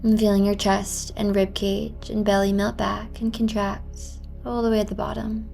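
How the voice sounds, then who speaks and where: Female yoga instructor, gentle and encouraging, mid-pitched with noticeable vocal fry, music underneath. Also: little pitch movement, normal speed. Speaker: yoga teacher. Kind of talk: guided yoga class with meditative cues.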